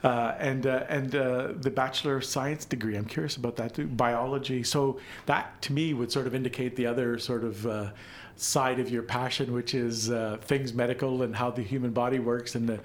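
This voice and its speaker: Male interviewer, mid-pitched, chatty and encouraging, keeps affirming the guest. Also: steady tone, normal speed, hardly any pauses. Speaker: interviewer host. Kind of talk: casual interview conversation.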